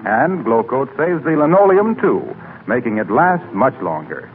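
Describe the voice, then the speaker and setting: A man's voice: mid-range voice, big pitch swings, moderate pace, a little hiss or hum. An American man working as a 1940s radio announcer, reading in a polished, salesmanlike style. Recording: tinny 1940s radio broadcast recording.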